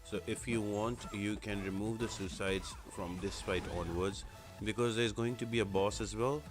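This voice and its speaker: A man's voice: fairly low pitch, steady tone, moderate pace, music in the background. A man hosting a game guide, explaining tactics in casual walkthrough commentary. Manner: explanatory, instructional. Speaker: gaming guide host.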